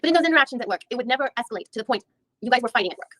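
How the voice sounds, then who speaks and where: A woman's voice: a little high, lively intonation, rapid-fire, fairly bright tone, no echo, clean studio sound. A woman reading a persistent questioning attorney. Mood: probing. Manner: probing, methodical questioning with frequent restarts. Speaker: reader in a deposition reenactment. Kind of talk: read-aloud legal reenactment.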